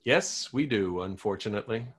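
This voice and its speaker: A man's voice: fairly low pitch, expressive, moderate pace, fairly bright tone, husky. A man playing an eager, hopelessly romantic forty-five-year-old radio host in a comedy table read. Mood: eager, hopeful. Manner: eager, pleading.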